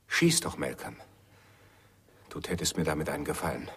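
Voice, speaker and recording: An actor playing a haunted, defiant jazz pianist on the run in a radio drama, terse and brooding. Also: male voice, extremely low pitch, moderate pace, a bit bright, husky, exaggerated voice.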